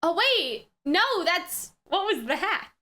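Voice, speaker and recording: Female reaction host, very high and bright voice, unhurried overall, slangy and exclamatory. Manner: animated, exclamatory, slangy, thinks aloud. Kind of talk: casual excited reaction commentary on an anime episode.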